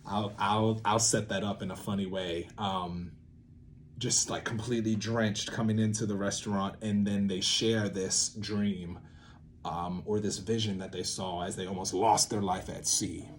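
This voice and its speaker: Low-voiced man thinking aloud, noisy recording. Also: flat delivery, unhurried. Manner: playful, rambling, thinking aloud with asides to self. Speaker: theatre maker presenting a creative method series. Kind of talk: informal improvised tutorial talk.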